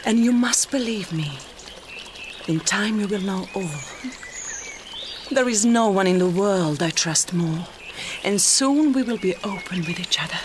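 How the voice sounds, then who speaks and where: Woman doing languid vampire lady, gothic radio play, really slow intimate murmur, tender but possessive, noisy background. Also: mid-range voice, lively intonation, close to an everyday voice. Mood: solemn, pleading. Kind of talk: gothic audio drama acting.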